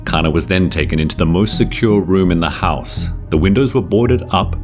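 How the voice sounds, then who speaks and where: A man with a very deep voice narrates a scripted horror folktale. His delivery is measured and dramatic, stretching out phrases for suspense, with soft music underneath.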